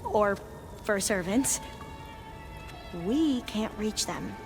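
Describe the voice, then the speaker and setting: An actress playing a careful, deferential scholar in a video game, speaking in a tactful, measured manner. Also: female voice, mid-range voice, expressive. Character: careful, deferential female scholar serving a ruler. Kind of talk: video game cutscene acting. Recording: loud music behind the voice. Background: cinematic music.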